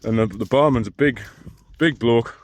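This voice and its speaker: Deep-voiced mumbling man. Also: normal speed. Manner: dry self-mocking asides, rambling with restarts. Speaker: long-distance hiker vlogger. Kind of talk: casual walking vlog. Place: outdoors on a hill trail.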